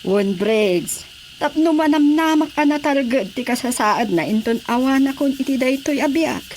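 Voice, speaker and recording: A woman's voice: high-pitched, animated, moderate pace, darkish tone, slight background noise. A woman acting a stern, complaining lady of the house in a radio drama.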